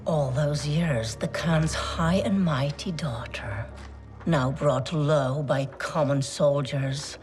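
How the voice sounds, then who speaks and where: A woman playing a cruel, gloating villain in a video game, gravelly, with a sneering, drawn-out taunting delivery. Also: deep voice, a little mellow, faint music behind. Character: cruel, gloating female villain, resentful soldier of a tyrant. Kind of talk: dramatic video game acting.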